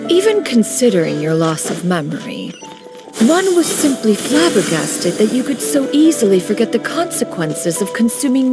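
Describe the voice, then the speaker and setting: A woman voicing an ancient crane spirit in a woman's form, who speaks in a stately, archaic way and calls herself "one". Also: fairly high pitch. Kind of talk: video game character dialogue. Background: game music.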